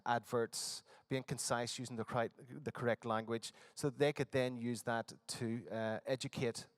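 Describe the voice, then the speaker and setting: A man's voice: medium pitch, clean tone, no room sound. A man from Northern Ireland with a Northern Irish accent, giving a workshop presentation at a conference with frequent fillers and restarts. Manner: hesitant, many fillers and restarts. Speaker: conference speaker. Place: conference hall.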